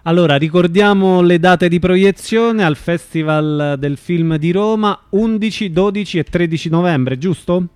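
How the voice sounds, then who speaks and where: A man's voice: high-pitched, unhurried, few pauses, a little mellow, smooth, no room sound, studio quality. A man hosting a conversational live radio interview.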